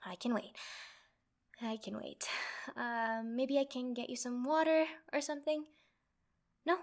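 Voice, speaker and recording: A woman playing a nervous, lovestruck and clingy yandere girlfriend in a comedic roleplay, halting and rambling as she talks. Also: a little high, lightly acted. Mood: nervous, caring.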